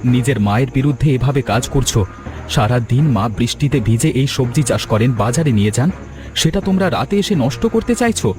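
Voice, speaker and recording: Principled young family man for cartoon drama male actor, preachy, very animated pitch, prominent music behind. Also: brisk, lightly acted. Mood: stern, accusing. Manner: emphatic, moralising, questioning. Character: righteous, caring son-in-law who scolds and moralises.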